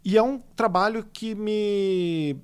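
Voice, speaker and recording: A fairly high-voiced man from São Paulo chats as a podcast guest. He rambles through his stories with plenty of fillers and gets animated as he goes. He has a Paulista accent. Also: slow, clean tone. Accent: São Paulo Portuguese. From São Paulo state, Brazil. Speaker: illustrator guest. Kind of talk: casual podcast interview.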